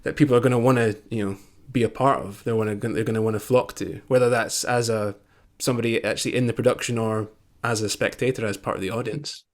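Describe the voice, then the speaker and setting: A man's voice: medium pitch, fairly even intonation, quick pace, hardly any pauses. A man co-hosting a casual podcast conversation, agreeable and reflective, often chiming in with short replies. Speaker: podcast co-host.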